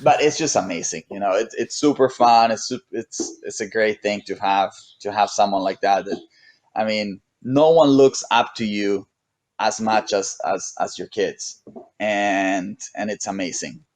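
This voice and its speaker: Laid-back Mexican man with a low voice. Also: unhurried, no room sound. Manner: relaxed, rambling with many restarts and "you know". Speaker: guest, pro paddle racer. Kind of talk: casual interview chat.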